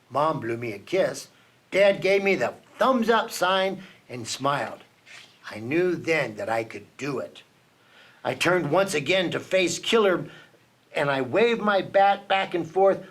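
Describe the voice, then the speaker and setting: A man reading a children's picture book aloud for a reading program, telling the story with dramatic pauses. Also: high voice, fairly bright tone.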